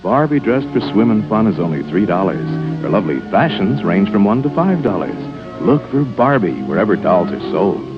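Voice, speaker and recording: A man announces in 1950s television commercial style. His voice is low and very animated, with music behind it. The recording is dull and old-sounding. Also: normal speed.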